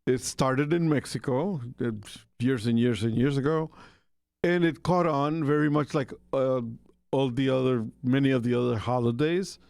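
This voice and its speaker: A man's voice: medium pitch, moderate pace, gravelly, darkish tone, smooth, no echo, studio quality. A man from Costa Rica hosting a casual radio talk segment, rambling with many fillers and restarts. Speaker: radio show host.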